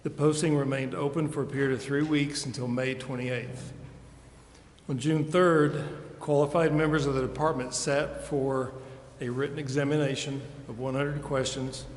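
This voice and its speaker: A man in the role of police chief, delivering a formal, measured ceremony speech in a council chamber. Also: medium pitch, steady tone. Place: large indoor council chamber. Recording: echoey reverberant hall, clearly noisy.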